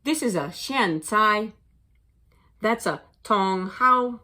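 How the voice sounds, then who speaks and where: Woman reading as a slow-spoken, gentle storybook mother. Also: medium pitch, expressive, pitched above the performer's own voice. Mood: gentle, reassuring. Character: warm Chinese mother explaining her garden vegetables.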